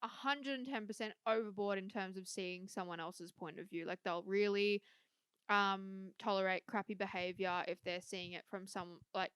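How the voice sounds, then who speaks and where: Smooth-voiced young woman podcaster. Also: mid-range voice, steady tone, normal speed, fairly bright tone, dry recording. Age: young adult. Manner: chatty, swears casually, lots of fillers. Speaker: podcast co-host. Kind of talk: casual chat.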